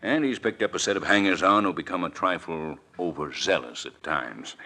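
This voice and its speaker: An actor playing an earnest, emphatic city official in a 1940s radio mystery. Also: male voice, low voice, moderate pace, sharp tone, heavily acted. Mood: earnest, defensive. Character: earnest, insistent male city official under pressure.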